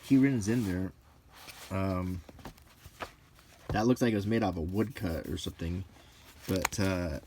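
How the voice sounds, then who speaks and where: A middle-aged man giving halting, offhand commentary as he leafs through books, listing items one by one with casual opinions. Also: fairly low pitch, darkish tone, a little hiss or hum. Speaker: presenter of a hobby video. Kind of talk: unscripted casual commentary while leafing through books, unintentional ASMR.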